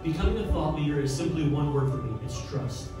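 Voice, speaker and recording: Guy pumped up on a panel, bit high voice, music under it. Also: animated, quick pace, distant mic. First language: English. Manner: fired-up, emphatic, talks with his hands. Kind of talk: energetic panel talk. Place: large office event space, indoors. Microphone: handheld mic. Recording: roomy, from afar, with music.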